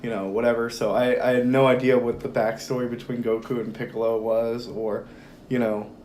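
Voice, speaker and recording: A hoarse young man talking as a guest in a relaxed, rambling conversation with lots of fillers. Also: medium pitch, brisk, slight background noise. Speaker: podcast guest. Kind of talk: casual conversation.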